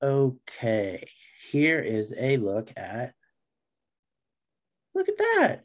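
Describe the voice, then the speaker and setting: A man's voice: medium pitch, huge pitch swings, drawn-out words. Middle-aged man, casual interview guest. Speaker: guest, writer. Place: indoors, on a video call. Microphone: video call microphone.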